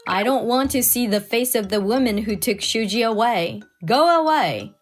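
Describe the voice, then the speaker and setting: A woman's voice: on the high side, expressive, normal speed. A woman voicing a spiteful, possessive older mother-in-law in a dubbed comic story, haughty and berating, over music. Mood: scolding, indignant. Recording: music bed under the voice.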